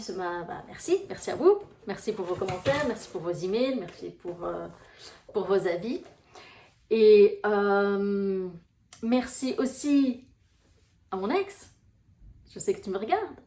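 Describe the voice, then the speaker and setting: A woman's voice: mid-range voice, a little mellow. Belgian woman, Brussels accent, life coach; casual, filler-heavy chat, mid-range voice, echoey room. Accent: Brussels Belgian French. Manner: chatty, rambling, full of fillers. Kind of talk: casual talk to camera.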